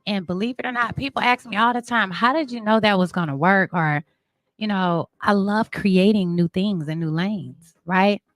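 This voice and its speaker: Woman in her 30s, chatty interview guest, tells stories real lively, dry sound no room echo. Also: mid-range voice, normal speed. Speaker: talk show guest, marketing and branding entrepreneur. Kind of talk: casual interview chat.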